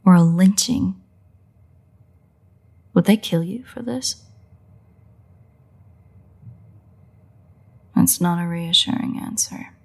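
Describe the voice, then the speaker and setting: A woman playing a playful, sardonic, bloodthirsty but secretly caring vampire in a roleplay audio drama, with wry, husky, often breathy delivery. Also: lowish voice, exaggerated voice. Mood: incredulous, mocking. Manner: wry, teasing, unhurried delivery with pauses.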